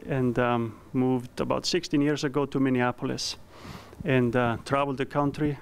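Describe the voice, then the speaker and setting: A man in his thirties from Iceland with an Icelandic accent, giving a conference presentation with a handheld mic, earnest and halting with frequent ums. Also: medium pitch, level tone, a little hiss or hum. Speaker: company founder giving a presentation. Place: conference room.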